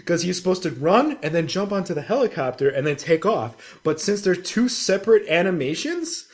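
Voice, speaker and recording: A man with a fairly high-pitched voice co-hosts a gaming podcast. He talks casually and informally, telling stories with animation and plenty of swearing. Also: sing-song, normal speed. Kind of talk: casual chat about video games.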